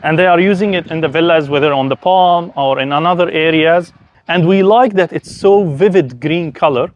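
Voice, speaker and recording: A man's voice: fairly high pitch, normal speed, lo-fi sound. A man from Syria with a Syrian Arabic accent speaks enthusiastically and conversationally, explaining things as host of an informal garden and villa tour.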